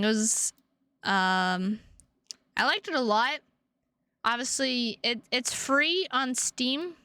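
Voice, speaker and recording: A woman streamer with a high, bright voice talks casually to her viewers. She sounds tired and takes her time, drawing out words at a slow pace. Her sentences ramble and halt as she goes. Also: smooth, no room sound. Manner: halting, rambling delivery with drawn-out words. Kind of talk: casual livestream chat to viewers.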